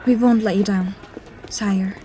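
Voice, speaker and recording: A woman's voice: medium pitch, fairly even intonation, unhurried, mellow, slight background noise, close to an everyday voice. An actress playing an anxious young woman hiding a secret in a fantasy audio drama, nervous and earnest. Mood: nervous, eager.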